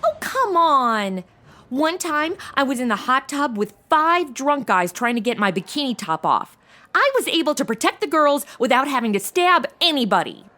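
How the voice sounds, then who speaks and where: A woman's voice: sits high, big pitch swings, normal speed, pushed and bright, exaggerated voice. A woman playing a flighty, exasperated young temp secretary in a comedy audio drama, talking in breezy modern slang. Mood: indignant. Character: flighty young female temp secretary, indignant party girl.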